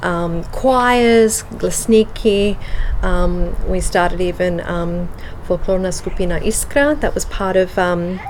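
A woman with an Australian accent in a casual interview, speaking with many pauses and ums, moving between English and Slovenian. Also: on the low side, fairly even intonation, darkish tone, slight background noise. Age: adult with children. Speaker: guest.

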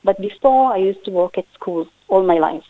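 A woman's voice: mid-range voice, animated, no room sound. Israeli woman on a tinny phone line. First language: Hebrew. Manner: halting English with restarts. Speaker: guest artist. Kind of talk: radio interview.

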